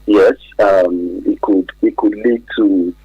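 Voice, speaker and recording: A man's voice: fairly low pitch, fairly even intonation, takes it slowly, slightly rough, dry recording, highs cut off. A man with a Nigerian accent giving hesitant, explanatory answers as an expert guest in a radio interview. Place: radio studio.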